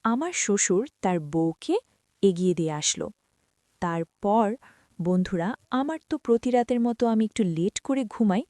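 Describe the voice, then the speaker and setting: A woman's voice: mid-range voice, lively intonation, measured pace, warm tone, no room sound, studio quality. A woman narrating a first-person story in a confiding tone, talking to her listeners as friends.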